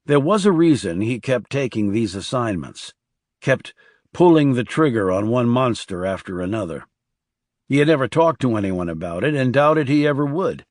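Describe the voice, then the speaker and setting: A man's voice: medium pitch, big pitch swings, moderate pace, a little mellow, no echo, studio quality. A man reading a thriller audiobook as a scripted narrator.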